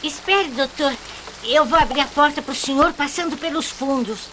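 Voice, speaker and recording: A woman's voice: high voice, moderate pace, pushed and bright, soft background music. An actress playing a poor, meek little Brazilian boy, plaintive and polite, in a melodramatic radio mystery drama. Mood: earnest. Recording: noisy old AM radio tape.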